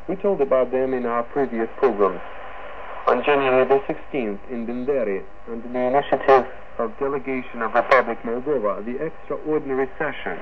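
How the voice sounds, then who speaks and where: A man formally reads a scripted news bulletin as a radio presenter, breaking his sentences into halting phrases. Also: medium pitch. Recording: noisy, narrow-band shortwave radio broadcast.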